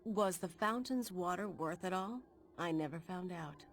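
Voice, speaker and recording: A woman with an American accent playing a bold, wry, determined young adventurer in a video game cutscene, with brisk, resolute delivery. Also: on the low side, slight background noise, lightly acted. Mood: reflective, wry.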